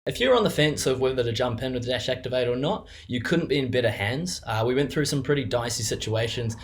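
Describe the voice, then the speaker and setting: Man, New Zealand accent, young adult, middle pitch, hesitant, lots of ums and restarts, unscripted testimonial. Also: animated, brisk. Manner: hesitant, many fillers and restarts. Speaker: business co-owner giving a client testimonial.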